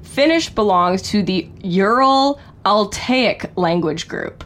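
A woman's voice: mid-range voice, animated, slow, a bit bright. A woman co-hosting a casual chat, reading passages aloud and then brushing them off with a dismissive aside. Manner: reads aloud then shrugs it off with a dismissive "whatever". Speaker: podcast co-host.

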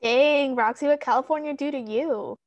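A woman from the US Midwest co-hosts a podcast in a relaxed, slangy way. Her voice is a bit higher than usual. The recording is dry and close. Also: moderate pace, no echo, highs cut off. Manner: laid-back, slangy. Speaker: podcast co-host. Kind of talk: casual podcast chat.

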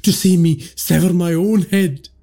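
A man's voice: high voice, fairly even intonation, measured pace, mellow, pitched above the performer's own voice. A man voicing a terrified young man losing his sanity, wailing and frantic. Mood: bitter.

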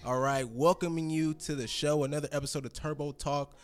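A man's voice: fairly high pitch, steady tone, a little fast. A man hosting a casual talk show, rambling through stories with lots of fillers and restarts. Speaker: podcast host. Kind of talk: casual chat.

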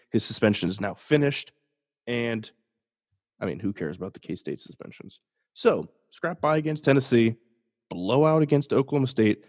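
A man's voice: mid-range voice, darkish tone. Congested young man hosting a sports podcast. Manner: casual, jokey, restarts and self-deprecating asides. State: congested, sniffly. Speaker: podcast host. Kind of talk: solo sports podcast commentary.

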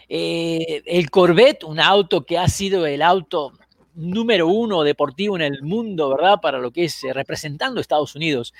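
A man hosting a casual show over a video call, animated, quick to cut in on his guests and often restarting his words. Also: fairly high pitch, no room sound, studio quality. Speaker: host. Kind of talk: casual talk show chat.

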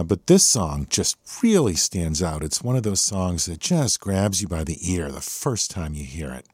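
A man's voice: fairly low pitch, darkish tone, dry recording, studio quality. An American man hosting a music podcast, talking through a song with enthusiasm. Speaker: podcast host. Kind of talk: music appreciation podcast commentary.

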